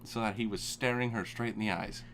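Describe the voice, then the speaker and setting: A man's voice: lowish voice, steady tone, fairly quick, a bit bright, low-quality recording. Young man stumbling through a reading. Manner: stumbling, self-conscious reading. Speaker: co-host reading aloud. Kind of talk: casual reading aloud on a stream.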